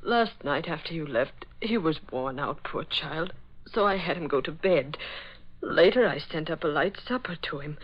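A woman's voice: deep voice, animated, normal speed. Worried society mother, vintage radio. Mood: anxious, bewildered. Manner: earnest, anxious. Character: worried, genteel society woman, an adoptive mother. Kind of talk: 1940s radio drama acting. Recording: dull old radio recording.

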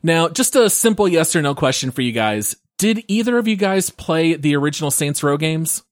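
A man's voice: fairly high pitch, animated, moderate pace, no echo. A man hosting a casual gaming podcast, upbeat and leading the discussion on a close mic. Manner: upbeat, leads the segment. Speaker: podcast host.

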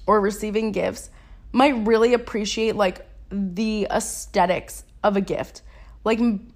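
A young woman hosting a casual solo podcast while sick and sniffling, chatty and rambling, with slang and laughs at herself. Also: mid-range voice. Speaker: podcast host.

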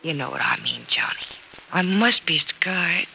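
A woman's voice: on the low side, animated, unhurried, pushed and bright, heavily acted. An actress playing a brave, hopeful young woman recovering in hospital, in 1940s radio soap opera style on a noisy old recording. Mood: wry, resigned.

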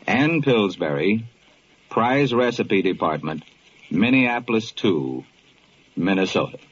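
Low-voiced American radio pitchman, vintage sound. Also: expressive, takes it slowly. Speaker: radio presenter reading sponsor message. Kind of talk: 1940s-50s radio commercial, talking directly to listeners. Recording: muffled, noisy vintage radio recording.

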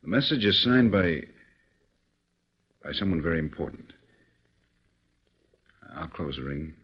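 An actor playing a calm, authoritative male government chief giving measured instructions in a 1940s radio drama. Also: low voice, breathy edge, exaggerated voice. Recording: dull old radio recording.

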